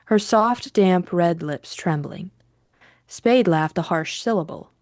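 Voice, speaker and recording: A woman reading literary fiction as an audiobook narrator, measured and deliberate in her storytelling. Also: fairly low pitch, a little mellow, dry recording, studio quality.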